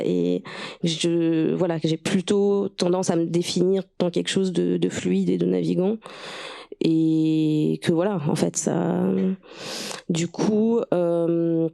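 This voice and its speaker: A woman author from Russia, whose first language is Russian, reads her own prose in a measured way and then answers questions hesitantly, searching for words. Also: lowish voice, vocal fry, mellow.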